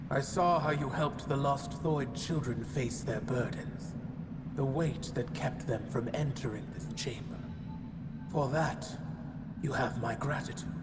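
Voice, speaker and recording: An actress playing an ancient, solemn female giant in a game cutscene, stubborn and wise, speaking in measured, portentous phrases with cavernous reverb. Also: very deep voice. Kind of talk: dramatic game cutscene monologue. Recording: long cavernous reverb, noisy ambience.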